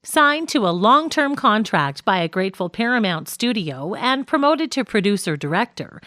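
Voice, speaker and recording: A female audiobook narrator reads scripted nonfiction with a mid-range voice and expressive, varied pitch. Her delivery is measured and articulate, captured in a dry, close studio-like sound. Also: dry recording.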